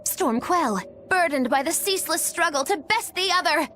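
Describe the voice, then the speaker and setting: A woman's voice: extremely high pitch, expressive, normal speed, a bit bright, slight background noise. A woman voicing an eccentric, teasing witch girl in a video game, speaking in cryptic, theatrical riddles. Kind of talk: English video game voice acting. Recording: game music under the voice.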